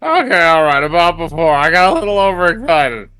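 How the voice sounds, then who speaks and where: Guy doing a nervous young man, high voice that jumps all over, halting and defensive. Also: sing-song, pushed and bright, faint music behind. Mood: panicked. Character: flustered, pleading young man confronting a dangerous intruder. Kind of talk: visual novel character read-aloud.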